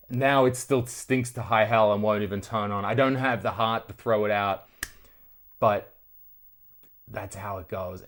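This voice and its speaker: A man's voice: medium pitch, fairly bright tone. An Australian man chatting casually to viewers as a video host, telling stories in a conversational, sweary way. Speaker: retro tech video host. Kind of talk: casual storytelling to camera.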